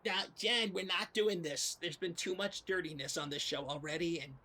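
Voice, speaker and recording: An anxious, prim podcast co-host, played for comedy with a sharp, very bright voice at a middling pitch. The delivery is flustered, full of stammering and hesitation. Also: moderate pace. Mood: flustered, agitated. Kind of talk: improvised comedy podcast banter.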